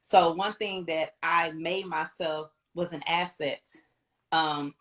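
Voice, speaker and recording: Low-voiced American woman telling her story. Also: steady tone, slow, a bit bright. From Houston, Texas, United States. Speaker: interview guest, radio promotions representative. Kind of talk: casual conversational storytelling.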